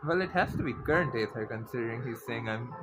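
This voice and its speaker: A man's voice: medium pitch, animated, fast, noisy background. A man co-commentating on a game, chatting and explaining the story as it plays. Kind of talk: gameplay chat and reading dialogue aloud. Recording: dull audio under loud music.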